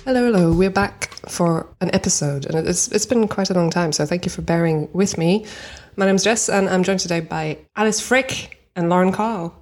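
A woman's voice: fairly low pitch, moderate pace, few pauses, a little mellow, faint music behind. A woman hosting a podcast interview, upbeat and encouraging. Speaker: podcast host. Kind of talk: casual interview.

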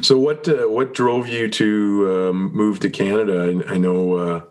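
A man's voice: lowish voice, fairly even intonation, measured pace, a little mellow. A man hosting a podcast who reads a guest's bio and then asks rambling, restarting questions. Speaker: podcast host.

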